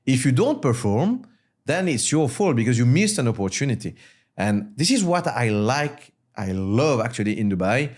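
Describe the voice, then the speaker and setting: A man from Mauritius speaking as an interview guest, an entrepreneur explaining his views in short, emphatic, repeated phrases. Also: medium pitch. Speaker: guest, startup founder and CEO.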